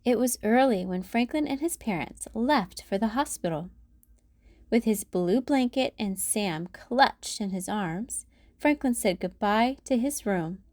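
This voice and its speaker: A woman narrating a children's storybook as a read-aloud host, in a deliberate storytelling manner. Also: on the high side, expressive, darkish tone, no echo.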